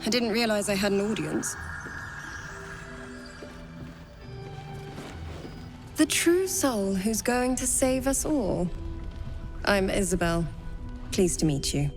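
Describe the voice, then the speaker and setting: A woman's voice: mid-range voice, lively intonation, measured pace, faint music behind. A woman playing a poised, earnest young cleric with a wry streak in a fantasy video game. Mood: wry, hopeful. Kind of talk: dramatic video game acting.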